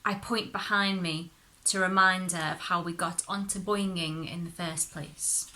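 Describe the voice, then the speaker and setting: A woman reading a short story aloud as expressive narration, with dramatic, deliberate phrasing. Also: on the low side, slight background noise, low-quality recording. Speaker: story reader.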